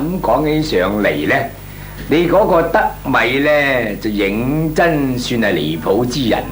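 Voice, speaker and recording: Small-time businessman, comic Cantonese radio drama, unhurried, very lively pitch, chuckling and exclamatory, noisy recording. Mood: chatty, grumbling. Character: talkative, chuckling small-time clerical businessman, cautious about money.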